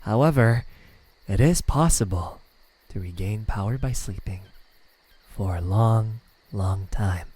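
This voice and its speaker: Man acting a male demon, low dark voice, smug and menacing, melancholic, hushed ASMR roleplay. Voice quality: breathy, slipping into whispers. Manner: slow, hushed, taunting delivery with pauses.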